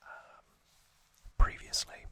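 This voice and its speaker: A man speaking entirely in a breathy, unvoiced whisper, telling a story in halting short phrases as an ASMR host. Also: a bit bright.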